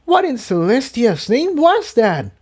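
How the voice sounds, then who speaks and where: A man's voice: extremely high pitch, huge pitch swings, measured pace, darkish tone, slightly rough, voice pushed up, exaggerated voice. A man voicing a plucky young female pegasus apprentice, tender and anxious, in a story reading. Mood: bewildered.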